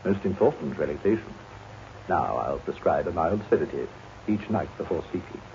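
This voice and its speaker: An actor playing a paternal family doctor in a 1950s radio drama, speaking in a measured, professional, soothing manner. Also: male voice, sits low, lively intonation, mellow. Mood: reassuring. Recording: dull, faintly noisy old radio recording.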